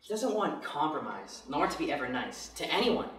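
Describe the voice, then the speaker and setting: A man's voice: a little high, very animated, moderate pace, fairly bright tone. Man reciting poetry in an echoey room. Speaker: poetry reader. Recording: echoey room, distant mic.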